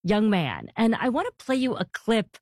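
A woman's voice: on the high side, wildly swooping pitch, moderate pace, darkish tone, no echo, studio quality. A woman hosting a radio interview, asking probing questions. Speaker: host.

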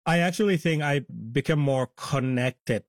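A man's voice: on the high side, moderate pace, no echo, studio quality. A man in his forties from Somalia, reflecting plainly on his past in a radio interview. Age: 40s. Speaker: interview guest.

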